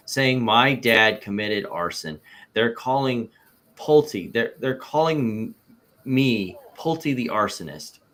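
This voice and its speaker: A lowish male voice of an amateur reader as a businessman witness in a deposition. He is evasive and halting, circling back over his own phrases as he avoids a straight answer. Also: fairly bright tone. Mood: stubborn, evasive. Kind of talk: amateur read-aloud of a deposition transcript.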